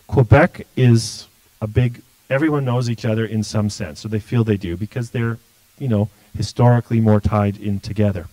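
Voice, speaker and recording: A man's voice: fairly low pitch, fairly even intonation, normal speed, mellow, no echo, poor audio. Blunt man, dry close sound. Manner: blunt, forthright, restarts often. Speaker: political candidate. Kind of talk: livestream interview answers.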